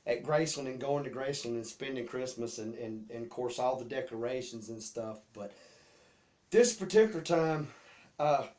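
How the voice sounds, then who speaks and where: A man's voice: mid-range voice, normal speed, darkish tone. A middle-aged man with a Southern US accent casually tells personal stories in a rambling, folksy way, often repeating his words. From Memphis, Tennessee area. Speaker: host telling childhood memories.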